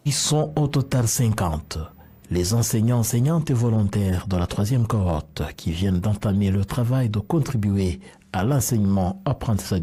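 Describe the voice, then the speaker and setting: A man reading a scripted French-language news report as a reporter, in a formal, measured way. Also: on the low side, dark tone, husky.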